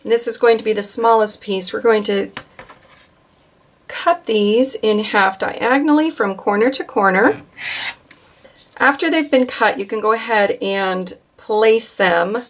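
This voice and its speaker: Calm woman teaching a quilting tutorial. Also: medium pitch, measured pace. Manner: calm, instructive, with occasional fillers. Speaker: quilting instructor. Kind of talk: step-by-step craft tutorial.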